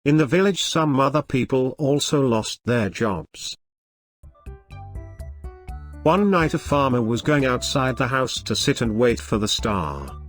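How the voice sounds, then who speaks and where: A man's voice: medium pitch, lively intonation, measured pace. Male storyteller over faint music. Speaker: narrator. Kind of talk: scripted moral story narration.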